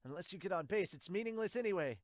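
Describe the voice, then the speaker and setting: A man voicing a loud, brash, excitable teenage boy baseball player in an amateur manga dub. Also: high voice, fast, no room sound, studio quality, pitched above the performer's own voice. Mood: fired up. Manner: shouted, excitable exclamations.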